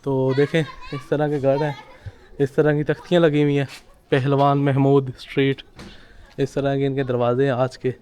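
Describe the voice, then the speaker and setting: A man's voice: medium pitch, level tone, normal speed, mellow, a little hiss or hum. Chatty man on a walking tour. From Pakistan. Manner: chatty and conversational, pointing things out as he walks. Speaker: travel vlogger. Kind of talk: casual walking tour commentary. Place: outdoors in narrow old-town streets.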